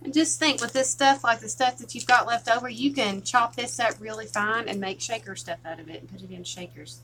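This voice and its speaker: A woman hosting a casual crafting livestream, chatting softly with viewers while she works. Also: a little high, fairly quick, slight background noise. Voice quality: soft, relaxing voice.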